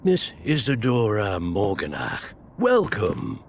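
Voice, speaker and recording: An actor playing a grave, kindly wizard professor in a game, speaking in a measured, formal way. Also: male voice, fairly low pitch, wildly swooping pitch, highs cut off, slight background noise, exaggerated voice. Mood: solemn, encouraging. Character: grave, kindly male wizard professor, mentoring a gifted student. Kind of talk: dramatic game cutscene acting.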